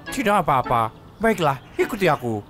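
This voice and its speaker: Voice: mid-range voice, sing-song, normal speed, natural voice. An actor playing a friendly, sincere small male tank engine in an earnest children's cartoon dub. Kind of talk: dubbed children's cartoon acting. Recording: music behind.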